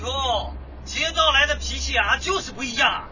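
An actor in a radio drama playing a combative, taunting resident who defiantly refuses to back down. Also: male voice, very high-pitched, animated, normal speed, bright, forceful tone, full character voice. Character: belligerent, defiant male resident refusing a demolition order. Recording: muffled, distant, noisy outdoor crowd scene.

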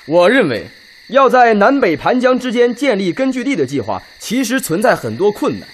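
A man playing a forceful, sceptical Red Army officer in a radio drama, with emphatic, declamatory delivery. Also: high-pitched, big pitch swings, normal speed, a little hiss or hum. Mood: urgent, doubtful. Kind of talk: Chinese radio drama acting.

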